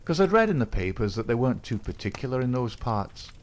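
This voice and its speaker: A man's voice: mid-range voice, quick pace, a little hiss or hum, voice pushed up, natural voice. Man voicing a cool, cocky young outlaw. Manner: calm, coolly defiant.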